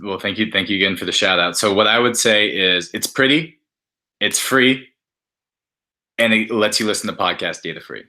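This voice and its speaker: A man speaking as a podcast guest in casual, halting chat peppered with "like". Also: lowish voice, bright, forceful tone. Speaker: podcast guest, app maker.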